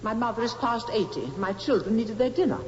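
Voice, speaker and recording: Actress as obstinate, curt practical nurse, 1950s radio drama, roomy echo and faint hiss. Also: female voice, a little high, expressive, brisk, a little mellow, lightly acted. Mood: defensive, stubborn. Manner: curt, stubborn, repetitive. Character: stubborn, defensive practical nurse, a working mother. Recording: muffled, echoing old radio recording, hissy.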